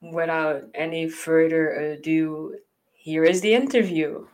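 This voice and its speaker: A woman's voice: sits low, steady tone, measured pace, a little mellow, soft background music. A woman from the Dutch Caribbean with an accent from the islands, hosting a podcast in a thoughtful, chatty way with plenty of fillers. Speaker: podcast host. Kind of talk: casual podcast chat.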